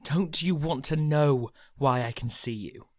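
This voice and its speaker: An actor playing a cheeky, persistent, unfazed young character in a radio play, with a playful, teasing, halting delivery. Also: mid-range voice, darkish tone, lightly acted.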